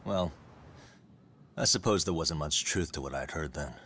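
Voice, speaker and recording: An actor playing a laid-back, kindly detective in a video game dub, gentle and casual. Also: male voice, extremely low pitch, moderate pace, slight background noise. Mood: reassuring.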